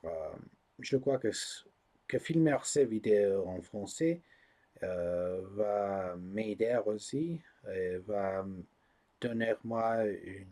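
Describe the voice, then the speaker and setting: A man speaking French as a second language, hosting his own vlog, hesitant and searching for words with many pauses and fillers. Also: deep voice, dark tone. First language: not French. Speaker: channel host. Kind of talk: casual vlog talk to camera.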